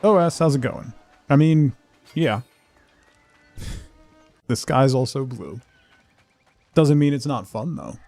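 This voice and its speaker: A man hosting a game livestream, rambling casually and haltingly as he thinks aloud and replies to viewers. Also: medium pitch, expressive, warm tone, faint music behind. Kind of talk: casual chat while playing, answering viewers.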